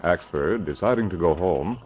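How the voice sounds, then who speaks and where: An actor as the formal narrator of a 1940s radio drama, reading scripted scene-setting narration. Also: male voice, deep voice, animated, moderate pace. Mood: measured, formal. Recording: muffled vintage radio recording. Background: music.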